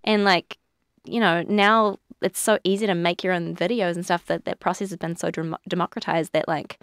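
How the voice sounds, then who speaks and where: A woman's voice: fairly low pitch, fairly even intonation, moderate pace, no echo, studio quality. A woman, a guest actor chatting casually on a podcast, laughing often and filling her talk with "like". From New Zealand. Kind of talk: casual chat.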